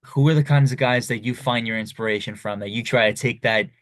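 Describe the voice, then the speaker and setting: A man's voice: medium pitch, brisk, no echo. A young man hosting a podcast, asking long, loose questions with lots of you-knows. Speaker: podcast host. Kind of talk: casual interview chat.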